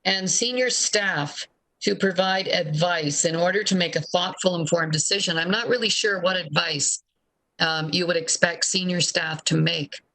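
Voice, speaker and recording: A woman's voice: fairly low pitch, normal speed, pushed and bright, low-quality recording. A woman speaking off the cuff in formal remarks as a city council member.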